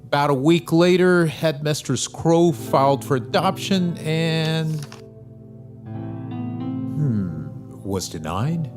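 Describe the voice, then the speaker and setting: An actor playing a businesslike lawyer who lays out case details in a measured way. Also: male voice, fairly high pitch, lively intonation, over music. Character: calm lawyer advising a couple.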